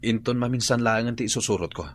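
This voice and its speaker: An actor playing a scheming, work-shy bandit underling in an Ilocano radio drama, hesitant and furtive, with an Ilocano accent. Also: male voice, mid-range voice, quick pace, slight background noise, natural voice. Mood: feigning weakness. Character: shirking, scheming bandit underling feigning sickness.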